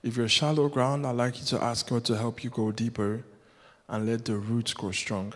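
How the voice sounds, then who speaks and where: A man's voice: mid-range voice, flat delivery, normal speed. A man whose first language is Yoruba, preaching a sermon as a guest preacher in an earnest, rhythmic way that builds with repetition. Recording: long hall reverb.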